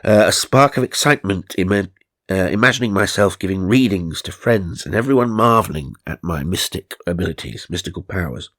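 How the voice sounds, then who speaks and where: A man's voice: low voice, lively intonation, brisk, fairly bright tone, no echo, clean studio sound. A British man reading silly stories aloud as narrator, with mock-serious, sardonic asides. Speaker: narrator and host.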